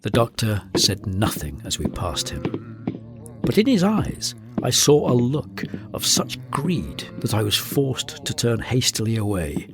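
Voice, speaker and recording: A man's voice: on the low side, sing-song, a little slow, music in the background. An actor playing a brooding gothic narrator, telling his tale of dread in an ominous, literary manner. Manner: ominous, literary, hesitant for effect. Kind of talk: dramatic scripted narration.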